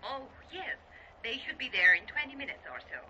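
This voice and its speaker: Bright, cryptic switchboard operator. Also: sits high, sing-song, fairly quick, heavily acted. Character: female telephone operator, businesslike yet cryptic. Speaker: telephone operator. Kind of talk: radio play acting. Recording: dull lo-fi radio recording, background hiss.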